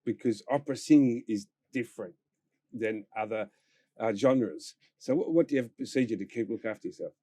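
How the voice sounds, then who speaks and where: A man's voice: medium pitch, a little fast, dark tone, dry recording. Male interviewer, dry close sound. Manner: rambling questions with fillers, laughs along. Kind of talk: casual interview questions.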